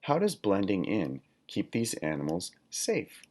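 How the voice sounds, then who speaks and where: A man reading a children's nonfiction book aloud in a deliberate, instructional manner, like a teacher. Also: on the low side, wildly swooping pitch, darkish tone. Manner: deliberate, instructional, poses questions to listeners. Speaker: read-aloud reader, teacher.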